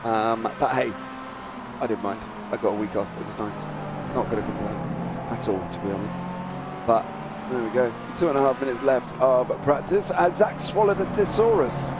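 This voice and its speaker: A man's voice: mid-range voice, loud music behind the voice. A British man doing live motor racing commentary, teasing his co-host in easygoing banter and reading out grid positions. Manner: chatty, teasing, reads out grid positions briskly. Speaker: lead race commentator.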